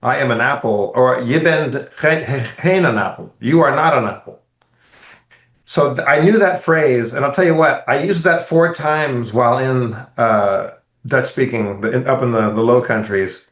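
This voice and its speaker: A man co-hosting a podcast, telling a rambling, animated travel story in casual, profane chat. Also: medium pitch, measured pace. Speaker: podcast co-host. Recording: roomy echo.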